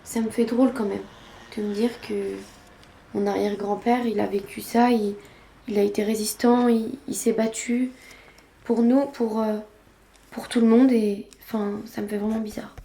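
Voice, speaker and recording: A woman's voice: a little high, steady tone, normal speed, creaky, mellow, echoey room, a little hiss or hum. A young-sounding woman playing a casual, earnest teenage daughter, hesitant, in naturalistic audio drama dialogue. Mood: moved, earnest.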